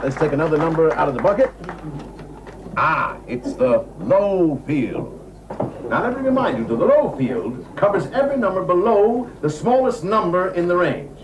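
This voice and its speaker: A formal male auctioneer in a television drama calls out in a high voice that rises and falls dramatically. His patter is projected and repetitive. The old soundtrack carries obvious noise. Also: sing-song, moderate pace, dull audio. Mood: formal, brisk. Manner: projected, repeats bids, formal. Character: brisk, formal male ship's pool auctioneer.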